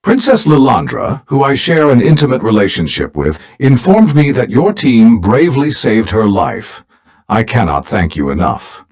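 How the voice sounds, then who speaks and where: A man's voice: medium pitch, sing-song, a little mellow. A man acting a dignified, formal older mentor who leads a team of heroes in a video game. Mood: earnest, grave. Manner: formal, measured, grave.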